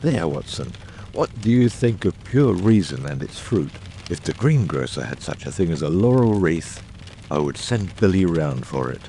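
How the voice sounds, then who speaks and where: A man acts out a brilliant middle-aged detective in an audiobook drama, with a very low, creaky voice. He is exacting and theatrical, phrasing eruditely with wide swings of pitch and deliberate pauses. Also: big pitch swings, dark tone, slight background noise. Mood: excited, triumphant.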